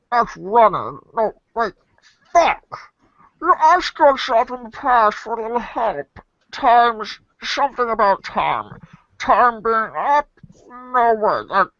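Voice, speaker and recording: A woman giving an animated reading of comic narration for a podcast. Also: fairly high pitch, dry recording, lo-fi sound. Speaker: reader in a webcomic reading podcast.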